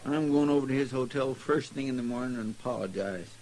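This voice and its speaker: An actor playing a folksy, good-hearted deputy in a 1950s radio western, with a creaky voice and rural American accent. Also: male voice, mid-range voice, fast, a little mellow, close to an everyday voice. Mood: remorseful. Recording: slightly noisy old radio recording.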